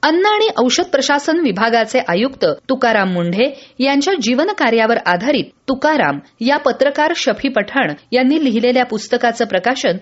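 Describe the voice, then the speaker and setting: A woman from presenting a scripted radio newsreel in a formal, measured way. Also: fairly high pitch, muffled recording. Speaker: news presenter.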